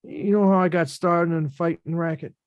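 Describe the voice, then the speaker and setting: A man reading the part of a rough-talking young male boxer at a script table read, slangy and self-mocking. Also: fairly high pitch, fairly even intonation, brisk, no room sound, dull audio, lightly acted.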